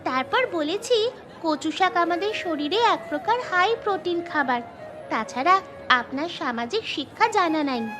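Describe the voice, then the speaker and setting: A woman's voice: very high-pitched, expressive, a little slow, music in the background. A woman voicing a bold, clever village schoolgirl in a cartoon story. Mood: spirited.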